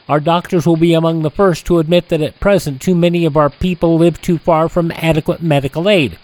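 A man hosting a classic radio show, reading old newspaper headlines in a steady newsreader delivery with small slips and self-corrections. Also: fairly high pitch, poor audio.

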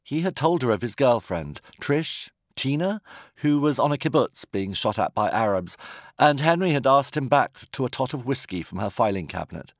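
A British man reading a comic novel as an audiobook narrator, measured and wry. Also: mid-range voice, very animated, no room sound, clean studio sound. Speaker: author reading own novel.

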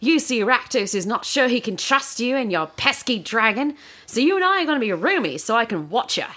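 A woman doing a manic female jester who leaps up and down in pitch as she taunts. She is crude, teasing and utterly shameless, and her high voice never settles in this comedic audio story. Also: very animated, a little fast, pushed and bright. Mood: gleeful. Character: gleeful unhinged female jester emissary.

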